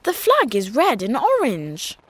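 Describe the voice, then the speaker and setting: A woman voicing a cheerful young boy at summer camp in a scripted textbook dialogue, with a clear, careful, sing-song delivery. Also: fairly high pitch, huge pitch swings, unhurried, dry recording, heavily acted. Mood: bright.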